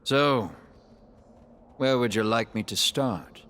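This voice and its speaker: A man playing an ancient, sorrowful dark elf king in a video game, sly and bitter, with a theatrical, teasing, measured delivery. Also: medium pitch. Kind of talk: video game cutscene acting.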